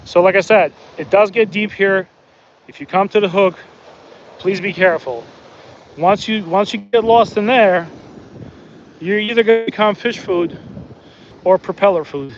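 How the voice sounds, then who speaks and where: A man's voice: high voice, moderate pace, pauses often, muffled recording, slight background noise. A man born in the Soviet Union, chatting casually on a live stream as he fishes from a beach, talking to the fish and to his viewers. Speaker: fishing livestream host. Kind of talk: casual live commentary while fishing. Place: outdoors on a beach by the water. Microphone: phone.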